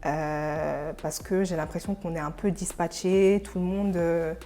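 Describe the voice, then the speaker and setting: A young woman from Senegal, an interview guest, talking casually and pausing mid-thought. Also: lowish voice, steady tone, dark tone, a little hiss or hum. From Senegal, of Burkinabe descent.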